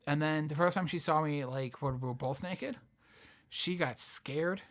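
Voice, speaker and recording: A man's voice: fairly high pitch, unhurried. A man, a comedian guest, telling stories in casual podcast chat, often restarting and repeating his phrases.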